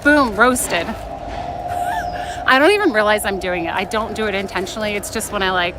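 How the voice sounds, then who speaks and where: A woman live streamer talks casually outdoors in a theme park, with clear ambient noise around her. Her pitch is middling, and she is playful and teasing, often interrupting herself mid-thought as she replies to her chat. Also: big pitch swings, normal speed. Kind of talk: casual chatty livestream talk.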